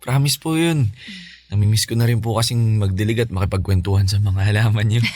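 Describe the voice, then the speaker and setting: A man's voice: lowish voice, brisk, mellow, no echo, studio quality, close to an everyday voice. A man playing a respectful, playful, sickly teenage son in a Filipino radio drama. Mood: polite, eager. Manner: respectful, boyish, pleading.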